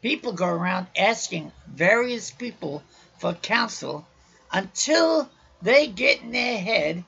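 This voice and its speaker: Man, raspy and husky, loud raucous podcast preacher, muffled lo-fi recording. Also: high-pitched, very animated, slow. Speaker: podcast host.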